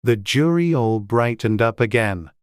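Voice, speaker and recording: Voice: mid-range voice, expressive, a little slow, smooth, no room sound, studio quality. An audiobook narrator reading a classic tale in a dramatized storybook style.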